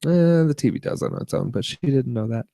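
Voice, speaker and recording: A man co-hosting a podcast, chatting casually about tech and media in a halting, rambling way. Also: mid-range voice, creaky, mellow, no echo, clean studio sound. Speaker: podcast co-host.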